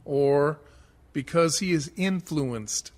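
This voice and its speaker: A man plays a rueful small-town stone carver in a radio verse drama. His voice is a little high for a man, and he speaks slowly, with quiet self-reproach. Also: heavy background noise. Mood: rueful, reflective. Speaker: actor in a community theatre radio play.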